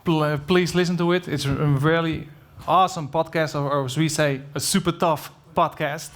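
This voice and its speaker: A man moderating a conference panel on stage, enthusiastic and quick to laugh at his own slips. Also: fairly high pitch, fairly even intonation. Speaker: podcast host, panel moderator. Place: conference stage before an audience.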